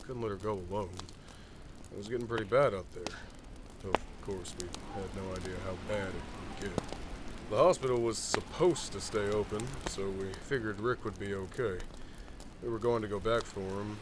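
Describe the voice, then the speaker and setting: Man acting low-voiced loyal survivor, noisy recording. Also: normal speed, natural voice. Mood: regretful, explanatory. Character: male survivor, loyal, practical friend of the ex-cop. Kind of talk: comic dub voice acting, horror drama. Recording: clearly noisy track with clicks.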